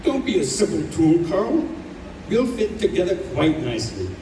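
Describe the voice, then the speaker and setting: Man acting lively, lightly high devoted young man. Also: normal speed. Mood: fond. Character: devoted, teasing young man, a reincarnated wife. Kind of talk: old-time radio play acting. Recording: roomy live stage recording, distant, faint noise.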